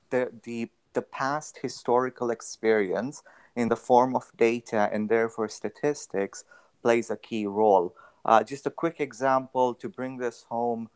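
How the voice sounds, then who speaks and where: A young man from Tanzania, a guest on a radio interview, explaining his work in a measured way with many fillers. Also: mid-range voice, clean tone. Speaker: guest, actuary.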